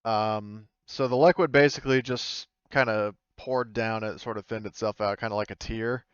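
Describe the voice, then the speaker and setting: A man acting as game master of a tabletop roleplaying game, improvising casually with halting explanations. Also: fairly low pitch, fairly even intonation, fairly bright tone, clean tone, no echo, highs cut off.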